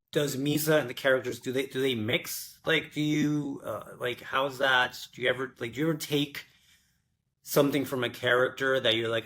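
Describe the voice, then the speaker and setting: A man hosting an interview, asking questions in a halting way with many restarts. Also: mid-range voice, a bit bright. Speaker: podcast host. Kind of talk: casual interview.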